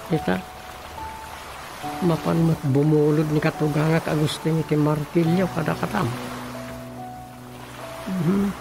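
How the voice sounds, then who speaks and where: A man's voice: fairly high pitch, level tone, a little slow, vocal fry, pauses often. A Filipino man with an Ilocano accent playing a musing, drawling village man in a radio drama comedy. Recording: music over the voice.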